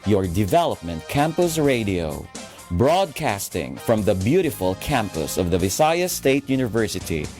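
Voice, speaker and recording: Male radio announcer, mid-pitched, highly animated intonation, station ident, music behind. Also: normal speed.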